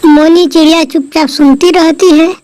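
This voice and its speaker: A woman's voice: extremely high pitch, steady tone, moderate pace, dark tone, no room sound. A woman narrating a scripted children's story.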